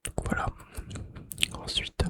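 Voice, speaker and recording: Whispering man doing soft ASMR narration. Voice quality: unvoiced breathy whisper. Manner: repeats key phrases slowly and softly, with hesitations. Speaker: ASMR video host. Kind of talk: whispered ASMR narration of video game facts.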